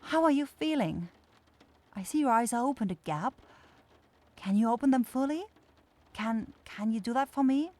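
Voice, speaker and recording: A woman's voice: on the high side, expressive, moderate pace, long pauses, darkish tone, close to an everyday voice. A woman voicing a caring young forest witch in a fantasy ASMR roleplay, nervous, rambling and apologetic. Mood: relieved, caring.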